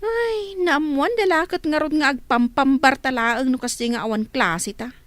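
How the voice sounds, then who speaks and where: A woman's voice: sits high, normal speed, a bit bright, dry recording. A woman playing a reluctant, complaining young student who hates farm work, in an Ilocano radio drama.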